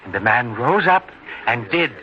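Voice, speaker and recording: An actor playing an earnest, devout neighbour in a biblical radio drama, telling things solemnly. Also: male voice, medium pitch, very animated, measured pace. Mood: awed. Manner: solemn, earnest storytelling delivery. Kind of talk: old-time radio drama acting. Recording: lo-fi old-time radio sound, faint noise.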